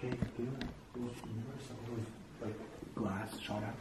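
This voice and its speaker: A man's voice: on the low side, flat delivery, normal speed. A young man, talking casually in short, matter-of-fact remarks while exploring a building. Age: young adult. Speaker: urban exploring companion. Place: indoors, empty dark school rooms and hallways. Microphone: picked up by companion's handheld camera. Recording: very noisy, echoey camera audio.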